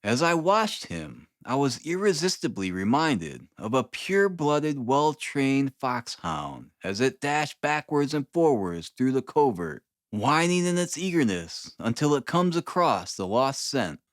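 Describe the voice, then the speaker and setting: A man reading a classic detective novel aloud as an expressive, literary audiobook narrator. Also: medium pitch, a little slow, hardly any pauses, no echo, studio quality.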